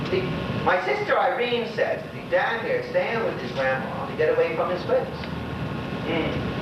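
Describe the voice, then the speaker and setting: An actor playing a lonely, gossipy old widower in a stage monologue, with a Bermudian accent and a rambling, exclaiming way of talking to unseen listeners. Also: male voice, on the high side. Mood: musing, gossipy. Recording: very echoey stage, distant mic, noisy, dull.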